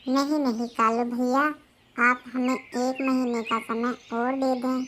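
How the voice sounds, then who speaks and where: A woman plays a widowed cartoon bird, humble and earnest, with a high voice. She speaks very slowly and politely, over faint birdsong. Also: flat delivery, fairly bright tone, a little hiss or hum. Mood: pleading. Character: widowed female bird ice-cream seller, humble and earnest. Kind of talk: Hindi children's cartoon voice acting.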